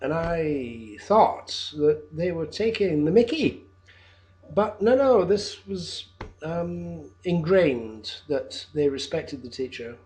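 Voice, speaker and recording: Fifties teacher, unhurried and hesitant, a touch high-pitched, pitching himself on a home camera recording. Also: male voice, animated, rough recording. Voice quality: loud voice. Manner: hesitant, with frequent ums and uhs. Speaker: teacher applying for a job. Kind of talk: unscripted video application monologue. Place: his own flat, indoors.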